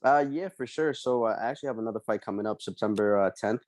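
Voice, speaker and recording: A young man from El Salvador, a fighter being interviewed, answering casually and haltingly with many ums and uhs. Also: lowish voice, darkish tone, dry recording, studio quality. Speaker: MMA fighter guest.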